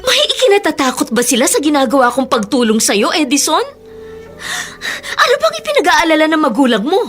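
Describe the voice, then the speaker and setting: An elder sister in a radio drama, high-pitched and widely swinging in tone. She is hurt and protective, pressing her case with pleading emphasis over a music bed. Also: big pitch swings, a little fast, a bit bright. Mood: anguished, pleading. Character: wealthy long-lost elder sister, hurt, insistent, protective. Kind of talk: Filipino radio drama acting.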